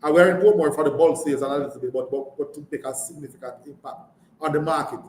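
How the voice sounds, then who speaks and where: A man's voice: on the high side, steady tone, fast, darkish tone. Echoey Jamaican man, company executive. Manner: emphatic, repeats words and phrases. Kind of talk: live earnings call answers. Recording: echoey room, background noise.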